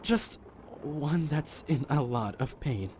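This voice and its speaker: A man acting a patient, soft-hearted young survivor in an apocalypse audio drama, a hesitant, pleading peacemaker. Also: on the high side, animated, takes it slowly, slight background noise. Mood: subdued, kind.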